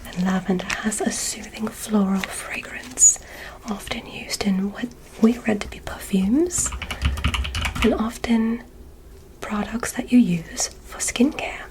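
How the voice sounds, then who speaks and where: Girl doing gentle curious alien examiner for asmr, all whispery n really slow, soothing. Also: medium pitch, lightly acted, low-quality recording. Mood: soft, encouraging. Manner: slow, soothing, instructive, encouraging. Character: gentle, curious female alien examiner visiting from another planet.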